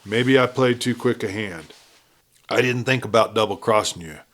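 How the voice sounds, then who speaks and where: A man's voice: lowish voice, normal speed, a bit bright. Man acting a blunt Western outlaw boss. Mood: commanding, conciliatory. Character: ruthless outlaw gang leader in the old West, male.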